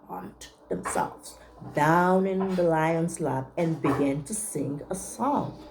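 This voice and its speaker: A woman reading a picture book aloud to young children as a teacher, pausing to check in with her listeners. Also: low voice, background noise.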